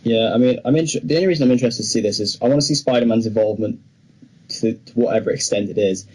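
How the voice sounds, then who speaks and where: A man's voice: medium pitch, rapid-fire, darkish tone, low-quality recording. A man co-hosting a podcast in a casual chat style, talking through entertainment news. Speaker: podcast co-host. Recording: clear background noise.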